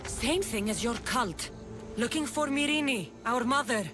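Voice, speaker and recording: A woman's voice: fairly high pitch, expressive, unhurried. An actress voicing a determined young female mercenary hero, firm and earnest in a video game cutscene. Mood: resolute. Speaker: game protagonist. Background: music.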